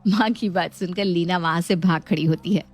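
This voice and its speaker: A woman's voice: fairly low pitch, moderate pace. A woman narrating a scripted story as a storyteller.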